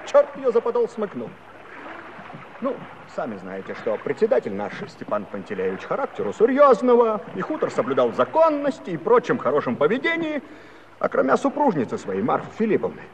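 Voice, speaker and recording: An actor playing a garrulous old Cossack villager, telling a comic tale with pompous, folksy turns of phrase. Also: male voice, high-pitched, huge pitch swings, fairly quick, exaggerated voice. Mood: aggrieved, chatty. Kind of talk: comic storytelling in a musical radio play. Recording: dull, noisy old 1970s recording.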